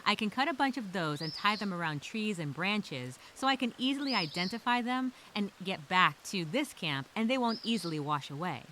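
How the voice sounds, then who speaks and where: A woman playing a scared but determined castaway, speaking her survival journal as a halting, expressive dramatic monologue. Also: mid-range voice, a little hiss or hum, natural voice, poor audio. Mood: focused, weary.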